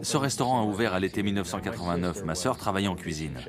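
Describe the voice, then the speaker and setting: A man's voice: low-pitched, animated, fast talker. An actor dubbing an anxious middle-aged man who owns a struggling restaurant, speaking in an earnest, worried way. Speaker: restaurant owner. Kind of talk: dubbed reality TV confessional. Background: music bed.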